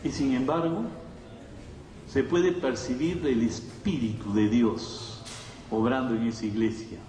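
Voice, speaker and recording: A man's voice: mid-range voice, unhurried, mellow. A man with a Latin American Spanish accent preaching a conversational sermon to a congregation, emphatic, repeating phrases and asking rhetorical questions. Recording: echoey hall, distant mic, faint noise.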